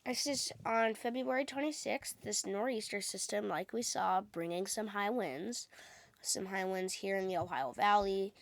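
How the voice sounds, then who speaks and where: A woman's voice: medium pitch, moderate pace, dry recording. Woman with a cold giving casual weather commentary. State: sick with a cold. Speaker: amateur weather vlogger, host. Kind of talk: casual weather forecast commentary over maps.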